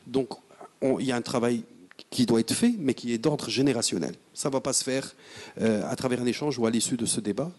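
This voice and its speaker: Panel guest: middle-aged man, earnest and reflective, mid-pitched, mixes French and Moroccan Arabic. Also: darkish tone. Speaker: panelist, radio host. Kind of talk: panel discussion.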